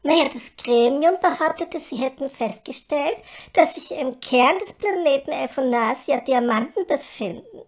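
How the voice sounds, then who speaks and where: A woman's voice: sits high, moderate pace, close to an everyday voice. A woman playing a vain, flighty, chatty fairy in a comedy audio drama, with theatrical, airy delivery. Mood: chatty explaining. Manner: theatrical, exclaiming, airy, shifting to chatty explaining.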